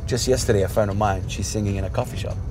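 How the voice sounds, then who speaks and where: A man's voice: on the low side, steady tone, racing, noisy background. A man from Saudi Arabia talking casually and earnestly in a podcast conversation, with informal hip-hop slang. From Jeddah, Saudi Arabia.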